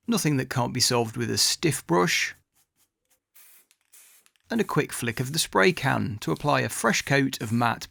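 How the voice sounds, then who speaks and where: A man's voice: mid-range voice, vocal fry, fairly bright tone, no room sound, studio quality. A man reading scripted voice-over narration for a restoration video.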